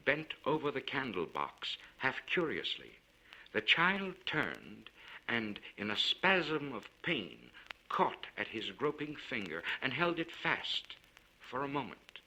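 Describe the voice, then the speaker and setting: Male narrator, very bright forceful voice, lively intonation, measured formal literary reading. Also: medium pitch, rough recording. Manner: measured, formal, expressive delivery. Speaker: audiobook narrator. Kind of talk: dramatic literary story reading.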